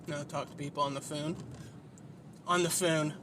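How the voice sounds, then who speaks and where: A man's voice: on the high side, steady tone, normal speed, noisy background. Nasal, whiny-voiced man rambling. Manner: rambling, self-deprecating, swears freely. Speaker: podcast host. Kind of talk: casual solo podcast monologue.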